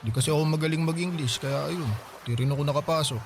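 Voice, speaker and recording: A man's voice: on the high side, fast talker, background noise, lightly acted. An actor playing a hard-up, defensive young Filipino man pleading with his older sister in a radio drama. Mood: downcast. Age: young adult.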